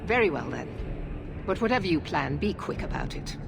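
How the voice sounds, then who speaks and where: A woman's voice: lowish voice, sing-song, a little fast, gravelly, noisy background. A woman playing a stern, impatient knight commander in a video game, giving clipped, imperious orders. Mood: indignant. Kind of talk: video game cutscene acting.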